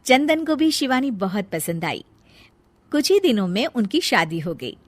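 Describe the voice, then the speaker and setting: A woman's voice: on the high side, sing-song, a little slow. A woman narrating a scripted children's story as a storyteller.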